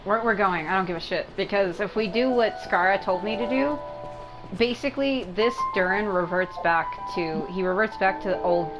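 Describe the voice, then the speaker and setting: A woman's voice: medium pitch, moderate pace, rough recording. A woman streamer giving casual playthrough commentary, informal and explanatory. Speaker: gaming streamer. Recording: game music behind the voice.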